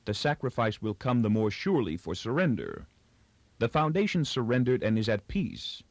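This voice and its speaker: A man's voice: medium pitch, very animated, moderate pace, no room sound. A man voicing a cynical, sophisticated young female factory worker in a storybook, languid and scornful.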